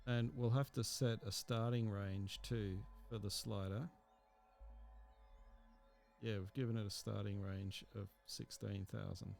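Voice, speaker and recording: A man teaching a software tutorial, explaining step by step in an unhurried way and thinking aloud with fillers. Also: fairly low pitch, level tone, gravelly, soft background music. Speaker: instructor. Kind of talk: screen-recorded software tutorial.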